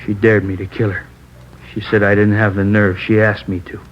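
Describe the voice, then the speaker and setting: On a dull, faintly noisy vintage radio recording, an actor plays a distraught, obsessive man confessing. His voice is fairly low, pleading and insistent, circling back over his own words. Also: flat delivery, normal speed, lightly acted. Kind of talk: 1950s radio drama acting.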